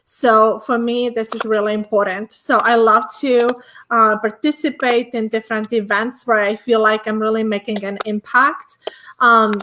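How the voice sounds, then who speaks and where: A woman's voice: on the high side, level tone, normal speed, bright, forceful tone, slight background noise. A woman from Estonia, a business coach guest, talking casually with many fillers in a hotel room. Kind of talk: casual conversation.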